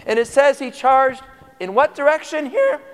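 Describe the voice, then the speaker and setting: High-voiced male preacher in a reverberant hall. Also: expressive, normal speed. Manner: conversational, asks the audience questions. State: tired voice. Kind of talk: sermon teaching.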